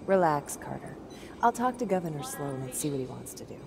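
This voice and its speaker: A calm, questioning colonist. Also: female voice, low-pitched, fairly quick, vocal fry, a crowd in the background, full character voice.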